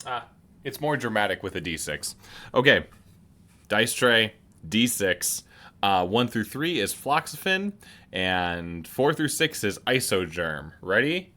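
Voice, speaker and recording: A man casually commenting on a video game as he plays, thinking aloud and halting with many restarts. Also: on the low side, very animated, pushed and bright. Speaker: let's play streamer.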